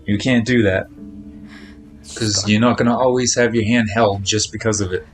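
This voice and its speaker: A man's voice: fairly low pitch, steady tone, normal speed, faint music behind. A man chatting casually on a live game stream, speaking in a blunt, admonishing, repetitive way. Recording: noticeable background noise.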